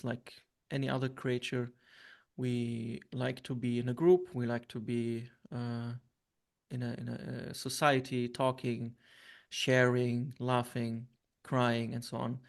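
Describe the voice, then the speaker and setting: Syrian guy, gravelly voice, lots of ums and restarts in an interview. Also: medium pitch, darkish tone. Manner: hesitant, many fillers and restarts. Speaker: interview guest. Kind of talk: reflective interview answers.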